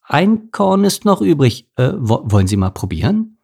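A shifty, sheepish mouse from a children's storybook, voiced by a male reader. He is hesitant and stumbles over his words, with some creak in the voice. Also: mid-range voice, very animated, slow, darkish tone, voice pushed up, heavily acted. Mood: nervous pleading. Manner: stammering, hesitant, mumbling.

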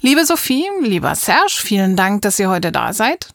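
A woman hosting a talk show, introducing guests and asking questions in a clear, scripted way. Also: medium pitch, very animated, normal speed, over loud music. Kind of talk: moderated interview.

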